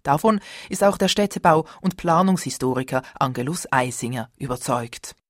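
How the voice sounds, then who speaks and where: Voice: medium pitch, normal speed, no room sound. Dry, formal radio narrator. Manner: steady, formal reading. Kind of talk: scripted narration.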